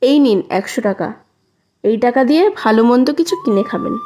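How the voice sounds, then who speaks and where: Woman acting a high-voiced cartoon passer-by. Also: expressive, moderate pace, mellow, close to an everyday voice. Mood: sympathetic. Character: outspoken female passer-by in the street. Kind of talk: Bengali cartoon story dialogue. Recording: faint music behind.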